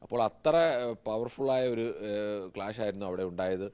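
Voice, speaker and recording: A man with a Malayali accent giving unscripted news analysis as a correspondent, explaining at length in halting, repetitive run-on sentences. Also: fairly low pitch, dark tone.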